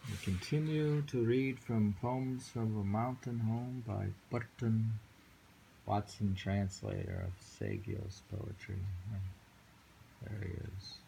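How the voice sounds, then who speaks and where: Slow gravelly man musing over poems. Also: fairly low pitch, sing-song, noisy background. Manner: slow, musing, with frequent hums. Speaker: poetry reader and host. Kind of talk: casual reading and discussion of poems.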